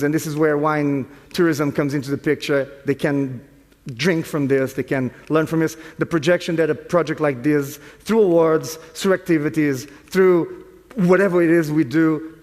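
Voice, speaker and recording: A man giving a conference presentation and explaining points, often checking in with "okay?". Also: fairly high pitch. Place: conference hall. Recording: reverberant conference hall.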